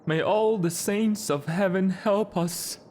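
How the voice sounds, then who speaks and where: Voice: medium pitch, takes it slowly, darkish tone, natural voice. A performer playing a frightened, pious old male servant in a theatrical audio drama, lamenting and imploring. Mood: pleading, fearful.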